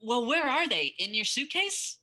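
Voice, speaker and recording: A cheeky young lad, played by a woman in a radio drama, breezy and teasing. The voice is bright and lively, a bit on the high side. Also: a little slow, heavily acted. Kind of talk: old-time radio play acting.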